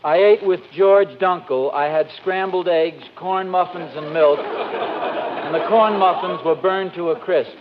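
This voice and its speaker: An actor playing a distracted, grumbling, mild suburban husband in 1940s radio sitcom style, hesitant and easily exasperated. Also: male voice, high voice, a little slow. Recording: dull, noisy old radio recording.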